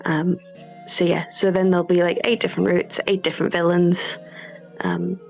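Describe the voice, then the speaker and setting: A woman's voice: fairly low pitch, little pitch movement, normal speed, darkish tone. A woman chatting casually as a guest developer, hesitant and rambling in a modest way. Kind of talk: casual interview chat. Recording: slightly dull online call, music behind.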